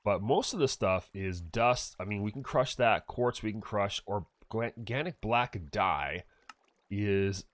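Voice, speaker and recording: A man's voice: lowish voice, measured pace, no room sound. A man with a stuffed-up nose, casually commenting on his own gameplay in a rambling, chatty way. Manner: chatty, rambling, self-correcting. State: stuffed up with allergies. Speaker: gaming channel host.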